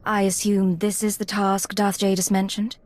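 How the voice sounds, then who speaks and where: An actress playing a composed, dutiful young imperial agent in a video game, cool, polite and formal. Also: female voice, mid-range voice, little pitch movement, normal speed, a little mellow, close to an everyday voice. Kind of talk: video game cutscene acting.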